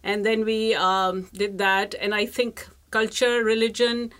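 A woman's voice: mid-range voice, level tone, measured pace, fairly bright tone. A woman from India with an Indian accent, speaking casually and enthusiastically as an interview guest, with plenty of emphasis. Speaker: interview guest, travel professional. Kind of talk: casual conversational storytelling.

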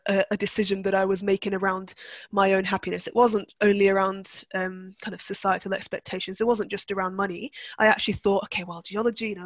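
A woman's voice: mid-range voice, no echo. A young woman from Turkey, a guest in a conversational interview, speaking reflectively with frequent restarts and fillers. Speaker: guest, NLP practitioner.

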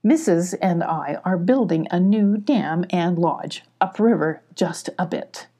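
A woman's voice: lowish voice, takes it slowly, mellow. A woman voicing a polite, apologetic, hesitant male beaver neighbor in a children's storybook. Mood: earnest. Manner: hesitant, courteous.

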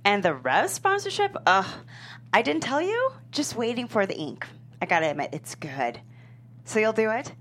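A woman playing a confident, upbeat young sports management professional at a comedy table read, brisk and wry. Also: a little high, big pitch swings, a bit bright. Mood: pitching, upbeat.

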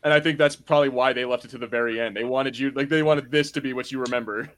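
A man's voice: mid-range voice, steady tone, fast, a bit bright. A man chatting casually and enthusiastically as a panelist at a film watch party. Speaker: podcast panelist.

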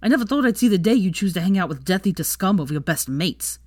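A woman's voice: on the low side, animated, quick pace, darkish tone, dry recording. A bitter, sneering man in a story, acted out by a woman. He is stubborn and nasty, stressing his words, in a dry close recording. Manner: nasty, emphatic. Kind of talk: dramatised fan fiction reading.

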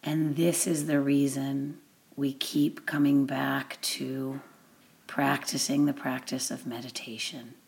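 A woman giving a guided meditation introduction as an instructor, with deliberate, measured phrasing and long pauses. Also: a bass voice, flat delivery, gravelly, a little mellow.

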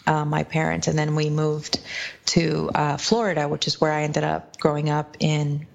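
A woman from Guatemala whose first language is Spanish, speaking as an interview guest in a thoughtful, measured way. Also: sits low, little pitch movement, gravelly, muffled recording. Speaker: guest, preacher. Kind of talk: reflective interview answers.

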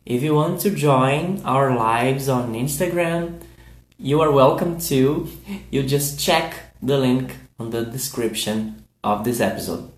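A man from Brazil with a Brazilian Portuguese accent, a podcast host teaching English on a livestream, speaking very deliberately and repeating words for learners to echo. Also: mid-range voice. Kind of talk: slow teaching talk, drilling phrases for learners. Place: recorded on a livestream.

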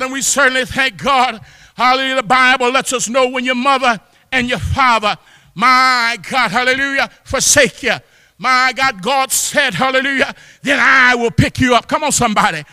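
A man preaching a fervent church sermon, exhorting with repeated exclamations of praise and often rising into shouts. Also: very high voice, clean tone. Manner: impassioned, often breaking into shouts. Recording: long hall reverb.

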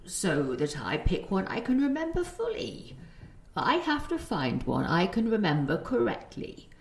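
A woman's voice: medium pitch, huge pitch swings, unhurried. Woman playing a forgetful, indignant grandmother, roomy sound. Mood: anxious, insistent. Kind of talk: audio play acting.

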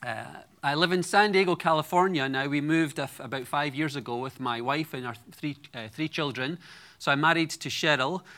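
A man's voice: fairly high pitch, fairly quick, sharp tone. A Scottish man in his forties preaching a sermon to a congregation, earnest and personal with light humor. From Scotland. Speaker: guest preacher.

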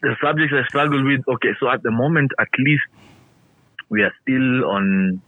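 A man's voice: mid-range voice, normal speed, no room sound, phone-quality sound. A man from the coast, a guest in a casual interview who jokes and laughs a lot. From Kenyan coast. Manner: playful and animated, laughing often.

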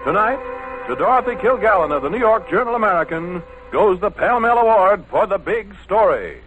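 A man's voice: high voice, lively intonation, normal speed, faint music behind. A man announcing in dramatic, declamatory 1940s radio style, on a dull old broadcast recording.

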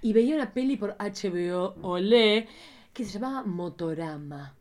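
A woman's voice: medium pitch, expressive, normal speed, darkish tone. Female podcast co-host, Argentine accent. Casual, campy, exclamatory, slangy and playful. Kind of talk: casual, playful chat about cult cinema.

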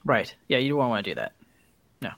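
A man's voice: medium pitch, big pitch swings, brisk, creaky, a bit bright, dry recording. A man co-hosting a podcast in casual, conversational chat. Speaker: podcast co-host.

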